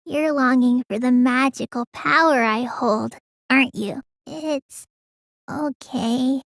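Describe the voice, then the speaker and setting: Casting: teenage girl mage in a video game; childlike, petulant, proud, slow listless drawl, bright dry sound. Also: high voice, creaky, no room sound, exaggerated voice. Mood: shy. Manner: childlike, listless drawl with sudden excitable bursts. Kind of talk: English video game voice acting.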